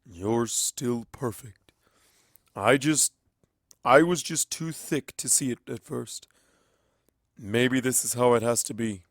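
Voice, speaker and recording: A man voicing an affectionate, slightly awkward male pony wizard in a storybook reading, with casual, halting speech. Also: medium pitch, dry recording, clean studio sound. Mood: loving.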